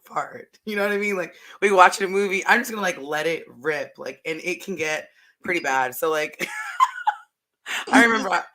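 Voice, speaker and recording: A woman co-hosting a casual podcast chat, candid and animated, her voice a bit hoarse from having partly lost it. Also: on the low side, normal speed. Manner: animated and candid, uses "like" a lot. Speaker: podcast co-host.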